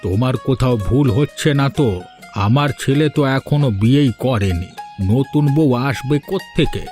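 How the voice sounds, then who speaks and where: A man's voice: mid-range voice, normal speed, darkish tone, natural voice. A man voicing a bitter, sarcastic, aggrieved old village father in a Bengali cartoon. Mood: incredulous, hurt. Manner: grumbling, sarcastic. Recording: music behind the voice.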